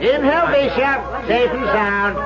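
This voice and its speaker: A man's voice: very high voice, slow pace, over a noisy crowd. An American man acting in a 1970s radio drama as a chatty, folksy cable car operator. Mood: showy, upbeat. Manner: folksy tour-guide showmanship. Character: chatty male cable car operator, showy with tourists, defensive in private. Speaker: actor in a radio mystery play. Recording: muffled, noisy 1970s radio recording.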